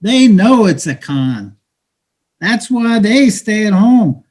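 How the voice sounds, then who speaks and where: An actor in a stage play reading, playing a cynical, weary low-wage worker with an emphatic, slangy, preachy delivery. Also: male voice, sing-song, slow, a little mellow, exaggerated voice. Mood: scornful, insistent.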